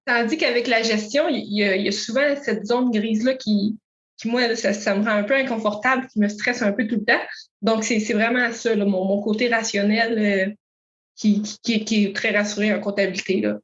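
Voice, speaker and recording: A woman's voice: mid-range voice, steady tone, a little fast. Young québécoise woman talking about her studies, lots of euh, kinda muffled sound. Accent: Québécois French. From Quebec. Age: young adult. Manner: many fillers, rephrasing, thoughtful. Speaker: accounting student guest. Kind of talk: casual interview answers.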